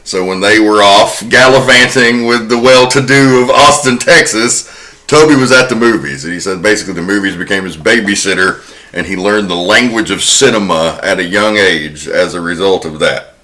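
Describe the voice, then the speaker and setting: Man, low forceful voice, podcast host, conversational film-history narration. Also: moderate pace.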